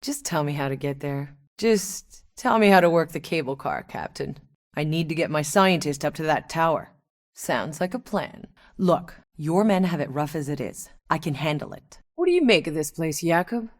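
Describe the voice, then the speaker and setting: Casting: tough female sci-fi game mercenary. Low-pitched, sardonic and blunt, recorded close and dry. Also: animated, moderate pace, dry recording. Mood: gruff, businesslike. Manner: wry, blunt, colloquial. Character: tough, sardonic woman mercenary on an alien colony. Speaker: video game protagonist voice actor. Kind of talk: scripted game dialogue.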